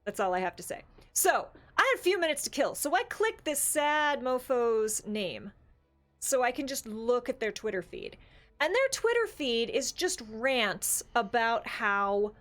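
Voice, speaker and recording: A woman co-hosting a casual tabletop gaming discussion, talking in an animated, opinionated, conversational way. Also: fairly high pitch, unhurried. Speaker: podcast co-host.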